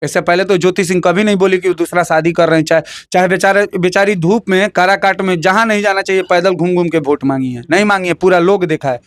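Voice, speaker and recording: A man's voice: high voice, fairly even intonation, fast talker, few pauses, a bit bright. A man with a Bhojpuri accent giving heated opinions in an interview, emphatic and combative, repeating phrases and firing rhetorical questions.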